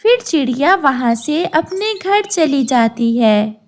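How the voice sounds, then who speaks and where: High-pitched woman narrating a story. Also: expressive, slow. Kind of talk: scripted storytelling narration for a cartoon.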